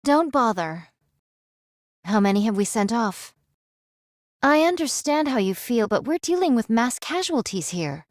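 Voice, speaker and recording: Weary warrior woman, stern, solemn archaic phrasing, fairly high voice, game drama, dry sound. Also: big pitch swings, unhurried, pauses often, no echo. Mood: grave, stern. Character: stern, weary swordswoman, formal and stoic.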